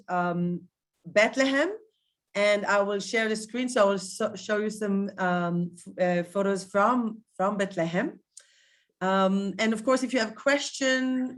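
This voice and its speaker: Israeli lady guiding a tour on a video call, lots of ums, mid-pitched voice. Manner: hesitant, with frequent ums and restarts. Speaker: tour guide and educator. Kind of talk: live online virtual tour talk.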